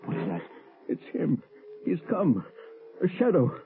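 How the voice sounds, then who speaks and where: An actor playing a frightened, scheming husband, halting and melodramatic, in a 1930s radio drama. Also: male voice, on the high side, huge pitch swings, vocal fry, noisy background, exaggerated voice. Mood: panicked. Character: frightened, guilty scheming husband cornered by his past. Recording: muffled, tinny old radio recording.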